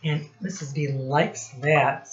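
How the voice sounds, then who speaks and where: A woman teacher reading a picture book aloud to young children in deliberate, separated phrases, asking them questions as she goes. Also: sits low. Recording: dull, muffled lo-fi recording.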